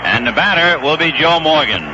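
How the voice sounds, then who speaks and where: A middle-aged man calling a baseball game as play-by-play announcer in live radio commentary, brisk and conversational. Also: fairly high pitch, expressive. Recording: dull old radio broadcast with faint hiss.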